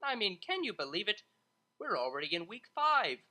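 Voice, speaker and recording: A narrator acting male pony tutor, theatrical, exclamatory, pushy, forceful bright tone, swooping pitch, audiobook character. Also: moderate pace. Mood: excited. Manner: exclamatory, animated.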